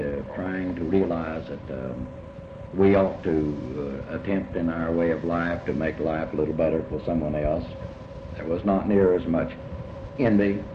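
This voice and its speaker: An older man speaking reflectively in an interview about his life, halting and often repeating words as he rephrases. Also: deep voice. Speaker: interviewee recalling early life. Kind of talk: reflective oral history interview. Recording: muffled, noisy, echoey room recording.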